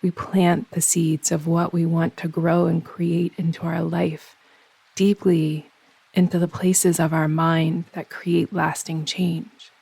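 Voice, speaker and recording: A woman leads a guided meditation in a husky, very even voice. She gives soothing instructions in unhurried phrases with pauses between them. The recording is close and dry. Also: on the low side, no room sound, low-quality recording. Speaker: yoga nidra meditation guide.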